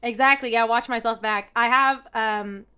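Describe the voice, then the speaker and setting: Young American woman, podcast co-host, chatty storyteller, slightly high voice. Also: steady tone, moderate pace, sharp tone, clear, resonant tone. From United States. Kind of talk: casual chat.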